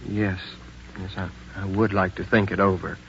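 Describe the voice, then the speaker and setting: A man's voice: sits low, little pitch movement, normal speed, lightly acted. Man acting a hesitant, stubborn landowner on muffled old radio. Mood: weary, troubled. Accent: American. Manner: hesitant, repeats words, deferential. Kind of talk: 1950s radio drama acting. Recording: muffled, noisy 1950s radio recording.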